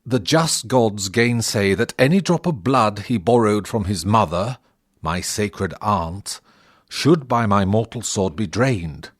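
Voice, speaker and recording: A man's voice: fairly low pitch, expressive, takes it slowly, no room sound, studio quality. A man playing a noble, gracious Trojan warrior prince with formal delivery in a Shakespearean audio drama.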